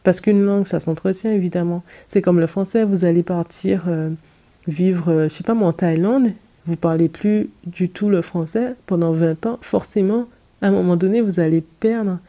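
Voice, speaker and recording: Earnest woman with a dark, mellow voice. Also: on the low side, normal speed, highs cut off. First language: English. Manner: earnest and direct, many fillers and restarts. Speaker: podcast host and English coach. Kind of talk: solo podcast monologue giving advice.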